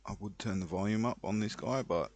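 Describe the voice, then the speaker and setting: A man with a low voice talks through his play in a casual, unhurried way. He thinks aloud as he explains, leaving pauses between short phrases. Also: a little mellow. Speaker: online roulette player explaining a betting strategy. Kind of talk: casual screen-recorded commentary.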